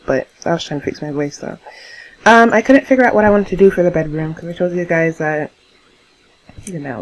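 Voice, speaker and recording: A young woman talking casually over her game build in a rambling, chatty way, laughing often, her voice hoarse. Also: on the low side, low-quality recording. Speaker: YouTuber narrating a game build.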